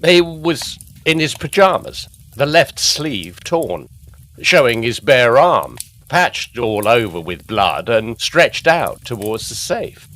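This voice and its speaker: A man's voice: medium pitch, sing-song, slow, fairly bright tone. An actor playing a courteous, matter-of-fact male Scotland Yard detective in a gothic audio drama.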